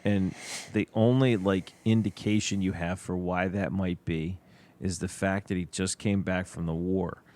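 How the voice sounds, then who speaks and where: Brief: slightly low male voice, easygoing co-host reasoning aloud about films. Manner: relaxed, thoughtful, halting restarts. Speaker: podcast co-host. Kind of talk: casual film discussion.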